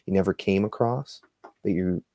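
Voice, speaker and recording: Low, dark gravelly man asking questions. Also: normal speed, no echo, studio quality. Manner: asks questions, hesitant restarts. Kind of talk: casual podcast chat.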